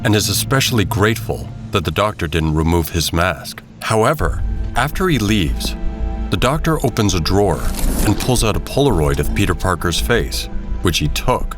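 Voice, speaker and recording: A man's voice: low voice, expressive, moderate pace. A man reading scripted narration for an audio drama. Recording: music under the voice.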